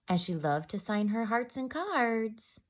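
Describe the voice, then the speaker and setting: Expressive, unhurried woman reading to children. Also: on the high side. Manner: expressive, addresses young listeners with questions. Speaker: storyteller, host. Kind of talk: children's picture book read-aloud.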